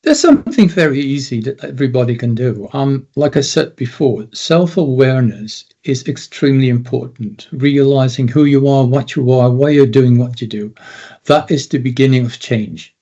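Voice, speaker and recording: A man's voice: medium pitch, moderate pace, mellow, muffled recording. A Dutch man with a Dutch accent, a confidence coach explaining his methods earnestly as an interview guest. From Netherlands. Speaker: confidence and self-esteem coach.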